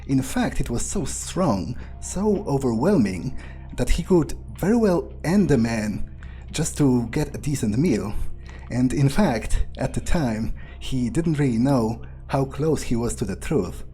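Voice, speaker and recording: A man narrating a role-playing game playthrough in a measured, musing way, with hesitant pauses and drawn-out hums. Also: mid-range voice, lively intonation, mellow, rough recording. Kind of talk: improvised character backstory narration. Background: background music.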